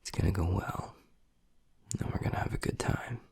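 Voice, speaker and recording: A man playing a gruff young werewolf pack alpha and protective, secretly tender boyfriend, murmuring bluntly in a husky voice in an intimate audio roleplay. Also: normal speed, a little mellow, no room sound, whispered, close to an everyday voice. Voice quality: husky, gravelly, breathy low voice.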